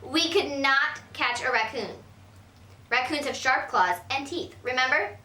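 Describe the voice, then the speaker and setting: A woman reading aloud and voicing a firm, tired mother who speaks slower and lower. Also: bright, forceful tone. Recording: roomy, from a distance.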